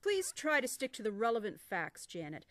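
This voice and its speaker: A woman playing a humorless emergency dispatcher in an audio drama, deadpan, clipped and strict. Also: a little high, normal speed, no echo. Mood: stern deadpan.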